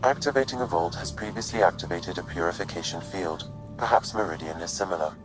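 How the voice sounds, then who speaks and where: Game AI companion, played by a man: low-pitched, impassive, precise, formal delivery, music behind. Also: fast, lightly acted, poor audio. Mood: calm, analytical. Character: calm analytical male artificial intelligence assistant. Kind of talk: scripted game dialogue, formal analysis.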